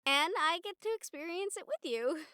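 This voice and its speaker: A woman plays an excitable young college girl with a very high, bright voice. She talks straight to the listener in a chatty, giggly, earnest way, as in an intimate audio roleplay. The recording is close and dry. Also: moderate pace, dry recording, full character voice. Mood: excited. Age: young adult. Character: excitable, sweet young college girl, a medium befriending a ghost.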